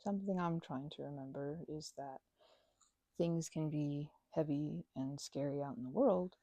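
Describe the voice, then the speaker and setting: A woman plays a goofy, caring best friend chatting in an intimate ASMR roleplay. Her low voice is soft and breathy, with some creak at the edges. She rambles haltingly and often interrupts herself, scatterbrained but kind. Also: no room sound.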